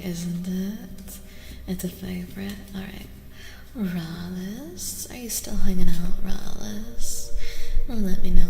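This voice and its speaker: A woman livestream host doing soft-spoken ASMR, chatting casually and soothingly with viewers, often half whispering and repeating short phrases. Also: medium pitch, gravelly, roomy sound. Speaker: livestream host reading tarot for chat. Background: music.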